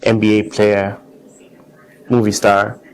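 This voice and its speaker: Male interview guest, lower-pitched, earnest conversational storyteller. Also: flat delivery, slow. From Zimbabwe. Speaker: interview guest, car designer.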